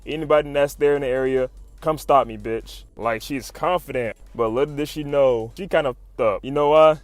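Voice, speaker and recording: A man's voice: medium pitch, normal speed, dry recording. Slangy man hyping a recap over music. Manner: slangy, sweary, fannish exclamations. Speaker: YouTube comic recap narrator. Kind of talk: casual hype commentary, retelling comic fights. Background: music under the voice-over.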